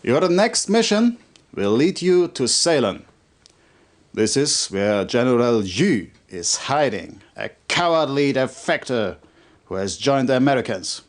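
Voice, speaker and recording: An actor playing a fervent, conspiratorial military officer in a video game cutscene, speaking in a formal, emphatic, declamatory way. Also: male voice, medium pitch, sing-song, unhurried. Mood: commanding, fervent. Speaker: military officer briefing a commander.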